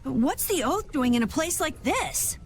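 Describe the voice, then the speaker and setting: A woman's voice: sits high, sing-song, moderate pace, slight background noise. A woman voicing a plucky, inquisitive youngster in a video game, eager and questioning. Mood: curious, eager.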